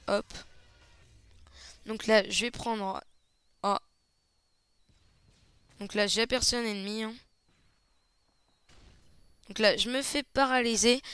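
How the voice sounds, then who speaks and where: A man giving casual, hesitant play-by-play commentary over a shooter match, slightly hoarse, with lots of fillers. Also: high-pitched, sharp tone, dry recording. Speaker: gaming channel host. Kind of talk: gameplay commentary.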